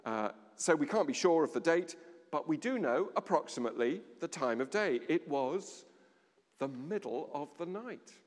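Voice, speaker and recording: A middle-aged man giving a sermon at a lectern in a large stone church, measured, with dramatic pauses and a voice that drops low. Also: lively intonation. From England. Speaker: vicar. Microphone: standing at a lectern, far camera. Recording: long church reverb.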